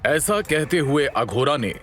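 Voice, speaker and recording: Animated male audio drama narrator, higher pitch. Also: a little slow, soft background music. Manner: expressive, suspenseful storytelling. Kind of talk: scripted dramatic story narration.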